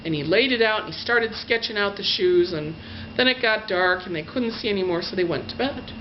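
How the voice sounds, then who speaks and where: A woman storyteller telling a fairy tale in her own words, gushing and stretching out the descriptive words. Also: medium pitch. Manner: gushing emphasis, drawn-out adjectives. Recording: dull lo-fi sound with faint noise.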